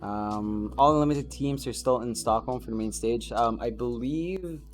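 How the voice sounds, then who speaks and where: Filipino male host, middle pitch, easygoing, reads chat aloud, faint guitar behind. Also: normal speed. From Philippines. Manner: easygoing, reads out chat messages and answers them. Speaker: podcast host. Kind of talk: casual podcast chat, reading chat messages.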